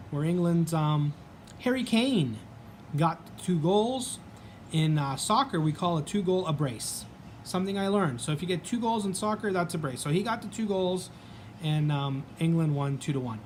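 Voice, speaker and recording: A man's voice: fairly high pitch, slight background noise. Rambling chatty Canadian man, sports vlogger. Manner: rambling, chatty, many ums and restarts. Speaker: sports vlog host. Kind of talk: casual sports vlog talk.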